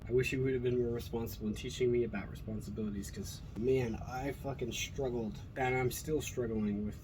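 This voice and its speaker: A man's voice: mid-range voice, steady tone, brisk. A man from Colorado talking casually and profanely, rambling with many fillers while reacting to an old speech and his upbringing. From Colorado, USA. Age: adult with a school-age son. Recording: noticeable background noise.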